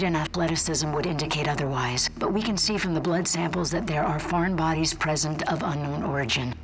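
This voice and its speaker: A woman playing an earnest, troubled scientist who records a research log for a video game in measured, deliberate phrases. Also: low voice, low-quality recording. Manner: measured, deliberate, halting with doubt. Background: music.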